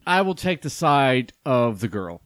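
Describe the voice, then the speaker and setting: A man improvising a mock court case with friends, playful and quick to laugh. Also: medium pitch, big pitch swings, no room sound. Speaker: comedy podcast panelist playing the defendant.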